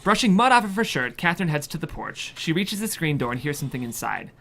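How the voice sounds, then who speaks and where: A man's voice: a little high, sing-song, fast, creaky, a bit bright. A man reading a screenplay's stage directions as scripted narration. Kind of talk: scripted narration at a table read.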